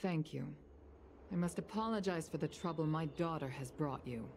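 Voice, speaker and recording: A woman's voice: deep voice, normal speed, background noise. An actress voicing a stern, dignified, weary mother in a video game, speaking formally and with measure. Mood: formal, composed.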